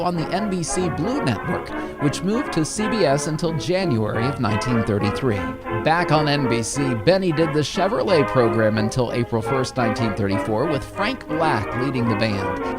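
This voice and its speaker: Man narrating a radio-history intro over music. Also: medium pitch. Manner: even, promotional read. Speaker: host of a radio-history channel. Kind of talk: scripted narration.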